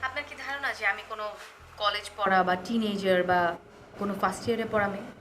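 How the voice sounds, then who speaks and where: An actress as a teasing, romantic late-night caller. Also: female voice, mid-range voice, fast talker, bright, forceful tone, slight background noise, natural voice. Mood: teasing, challenging. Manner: teasing, talkative, musing. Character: flirtatious, bold, playful romantic woman calling late at night. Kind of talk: Bangla TV drama dialogue.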